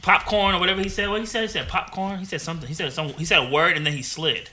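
Bright, fast-talking joking man. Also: sits high, slight background noise. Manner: animated, joking, laughs often. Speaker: podcast host. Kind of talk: casual podcast chat.

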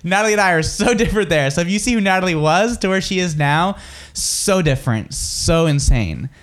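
A man's voice: sits high, expressive, brisk. A man hosting a casual podcast, talking enthusiastically with repeated restarts. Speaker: podcast host. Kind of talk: casual panel chat.

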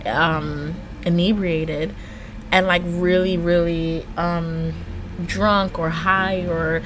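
A woman with a fairly low voice hosts a true crime show in a chatty, slangy way. She moves between reading out the case and giving animated, informal asides. There is some noise in the recording. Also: extremely slow. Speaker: true crime podcast host. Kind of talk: casual true crime storytelling, mixing scripted narration with chatty asides.

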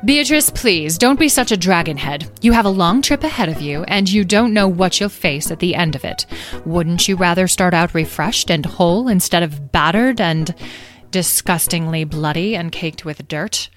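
A woman's voice: fairly low pitch, lively intonation, normal speed, soft background music, lightly acted. A woman voicing bossy, fussy female fairies in a children's story, with emphatic, sassy coaxing. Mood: scolding, coaxing. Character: bossy, fussy female fairy healers, squabbling.

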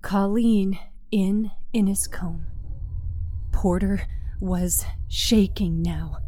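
A woman narrating a scripted story in a measured, dramatic manner. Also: medium pitch, warm tone, slight background noise.

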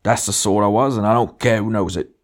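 Man voicing a blustering, indignant working-class ghost, raised pitch. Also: brisk, lightly acted. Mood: blustering, self-righteous. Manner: blustering, argumentative, punctuating with demands. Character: big sulky, indignant, working-class male ghost, self-righteous grumbler. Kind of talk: literary audiobook character dialogue.

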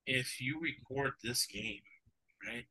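Male betting-show host, second-language English speaker, very bright tone, rambling asides. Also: medium pitch, takes it slowly, husky, rough recording. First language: not English. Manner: rambling, digressive, chuckles often. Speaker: sports betting podcast host. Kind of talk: casual betting talk.

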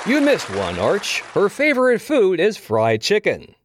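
A man playing a villainous game show host in an audio drama, with drawn-out, teasing showman patter. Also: high voice, wildly swooping pitch, soft background music, some chatter behind, full character voice. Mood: gleeful, teasing. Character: showboating male villain game show host, toying with captives.

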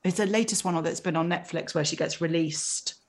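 A woman's voice: lowish voice, brisk. A woman in her fifties, raised in England after early childhood in Egypt, chatting as a guest in a casual interview, often restarting her sentences. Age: 50s.

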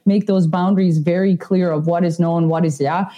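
Woman in her 30s guesting on a podcast, warm mellow tone, casual slangy talk. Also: fairly low pitch, fairly even intonation, brisk. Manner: informal, slangy.

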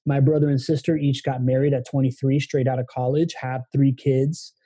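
A man's voice: medium pitch, little pitch movement, moderate pace, mellow, husky, no echo. A man in his thirties, born in Venezuela and raised in Miami, speaking as a podcast guest in a reflective, open way with long run-on sentences. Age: 30s. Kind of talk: casual conversation.

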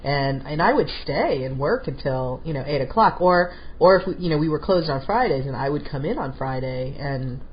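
Low-voiced middle-aged woman with a head cold. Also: expressive, moderate pace, few pauses, husky. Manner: chatty, repeats words as she talks. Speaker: podcast host. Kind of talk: casual conversational chat. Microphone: call over phone or Skype. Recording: dull slightly lo-fi audio with faint noise.

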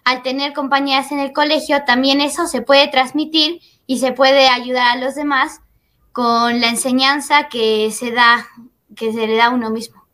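A woman's voice: high voice, steady tone, moderate pace, fairly bright tone. A teenage girl answering interview questions hesitantly, opening with fillers. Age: teens. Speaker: guest.

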